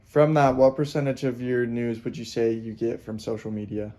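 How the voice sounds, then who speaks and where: A man reading scripted documentary narration in an earnest, steady way. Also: mid-range voice, moderate pace. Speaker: student narrator. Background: guitar music.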